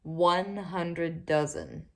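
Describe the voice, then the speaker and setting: A woman voicing a steady, practical father in a children's storybook, musing in a measured way. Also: on the low side, gravelly, full character voice. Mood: pondering.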